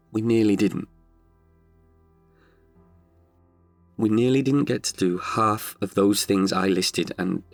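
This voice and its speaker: A man's voice: on the low side, vocal fry, dry recording. A man in his thirties performing an intimate, chatty monologue as an older, wiser self tenderly addressing his past self. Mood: candid, reflective. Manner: chatty, wry, heartfelt. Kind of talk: intimate confessional audio monologue.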